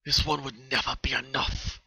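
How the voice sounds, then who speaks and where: Bright, forceful dramatic horror narrator. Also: mid-range voice, little pitch movement, moderate pace, vocal fry, slightly rough, no room sound. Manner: intense, theatrical storytelling. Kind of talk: dramatic horror fan fiction reading.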